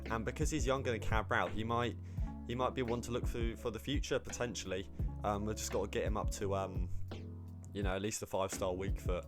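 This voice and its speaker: A man, slightly hoarse, rambling casually about his football game squad with lots of ums and restarts. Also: lowish voice, fairly even intonation, fairly quick, over music. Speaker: gaming YouTuber.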